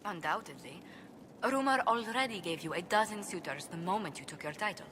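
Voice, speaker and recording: A woman's voice: lowish voice, expressive, fairly quick, background noise, lightly acted. An actress playing an earnest, proper, easily flustered noblewoman in a video game, with a polite, precise, formal manner. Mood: wistful, composed. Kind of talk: video game cut-scene acting.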